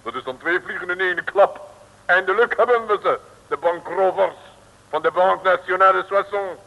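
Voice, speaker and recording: A man playing an officious, excitable French policeman in an old radio comedy, speaking in halting, repetitive phrases. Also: high voice, gravelly. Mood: excited triumph. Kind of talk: 1950s comic radio serial acting. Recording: muffled, echoing old radio recording.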